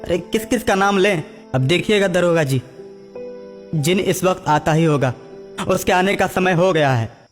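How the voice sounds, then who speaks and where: A man's voice: sits high, measured pace, long pauses, long reverb tail, voice pushed up. A man voicing a cowardly thief in a cartoon story, pleading and making excuses. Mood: fearful warning. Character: cowardly, pleading male thief, afraid of a jinn. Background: background music.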